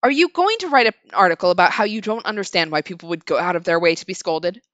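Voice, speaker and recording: A woman's voice: on the low side, sing-song, brisk, a bit bright, dry recording. A woman voicing a stern older woman who scolds harshly, with a cutting tone and a raised voice.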